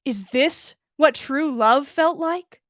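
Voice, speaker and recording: A woman's voice: high voice, slow, fairly bright tone, dry recording, clean studio sound. A woman voicing a prim, condescending older villainess in a story, with a sugary, haughty manner. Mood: breathy longing.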